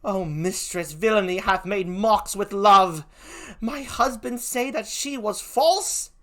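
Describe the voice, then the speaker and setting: A performer as fierce British gentlewoman, slow and impassioned. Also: medium pitch, pitched above the performer's own voice. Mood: indignant. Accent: British, put on. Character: fierce, grieving, defiant gentlewoman, loyal to her mistress. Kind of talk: classical stage tragedy performance.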